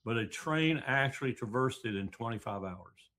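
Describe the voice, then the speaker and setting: A man's voice: medium pitch, lively intonation, unhurried, bright, forceful tone, poor audio. A man talking informally about politics as an interview guest.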